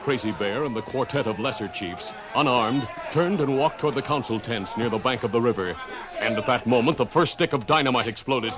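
A man playing the dramatic narrator of a 1950s radio western adventure, with an American accent and deliberate storytelling delivery. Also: mid-range voice, very noisy, natural voice. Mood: excited. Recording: old radio broadcast recording.

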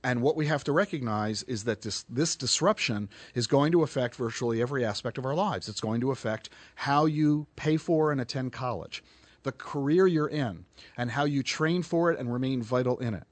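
A man's voice: medium pitch, moderate pace, no echo. A man hosting a radio finance show, delivering points emphatically and taking callers.

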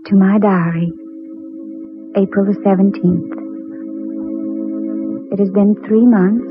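A woman's voice: mid-range voice, fairly even intonation, takes it slowly, natural voice. An actress playing a devoted, anxious young woman in love, with the earnest, tender melodrama of 1940s radio acting. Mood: wistful, yearning. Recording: tinny, band-limited 1940s radio recording with music.

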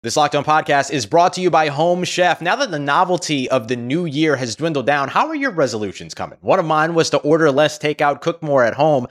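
Slightly high-voiced man reading an ad. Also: lively intonation, fairly quick, few pauses. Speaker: ad reader. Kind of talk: scripted podcast ad read.

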